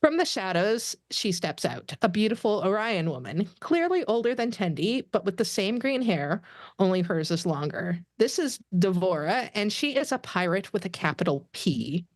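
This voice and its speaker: Female narrator, scripted stage directions, medium pitch, dry recording, table read. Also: sing-song, normal speed, no echo.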